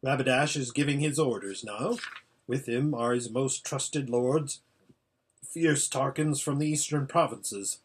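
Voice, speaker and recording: A man's voice: mid-range voice, unhurried, slightly rough. A man voicing an impatient old hermit seer in a storybook, giving an anxious, exclamatory running report. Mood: watchful, grave. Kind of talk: storybook character voice.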